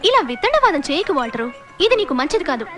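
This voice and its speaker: A woman's voice: high-pitched, big pitch swings, fast talker, over music. An actress playing an earnest, plucky young policewoman who pleads and scolds, in a comedy film. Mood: pleading. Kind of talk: Telugu film comedy acting.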